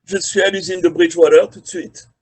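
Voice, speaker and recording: Frenchman, forties, career mentor, slightly higher voice, fills pauses with "euh". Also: a crowd in the background, rough recording. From France. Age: 40s. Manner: relaxed, hesitant with many fillers. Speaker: career mentor, quality manager. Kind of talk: video call interview. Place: his office at a factory. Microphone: video call.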